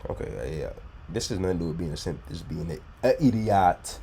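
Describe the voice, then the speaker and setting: A man's voice: sits low, animated, fast, dark tone, breathy edge. A man hosting a reaction video, giving blunt, profane, preachy dating advice in a casual way.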